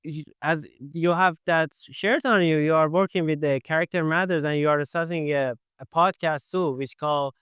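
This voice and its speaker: A man's voice: a little high, steady tone, normal speed, few pauses, fairly bright tone, clear, resonant tone, no echo, clean studio sound. Chatty young man. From Iran. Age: 20s. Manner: chatty, non-native phrasing, frequent "like" fillers. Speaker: podcast host. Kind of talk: casual interview.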